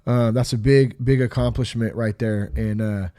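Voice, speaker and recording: A man's voice: mid-range voice, steady tone, normal speed, dark tone, dry recording, studio quality. Congested laid-back man podcast host. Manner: laid-back, rambling with fillers. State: congested, sick. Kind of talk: casual podcast chat.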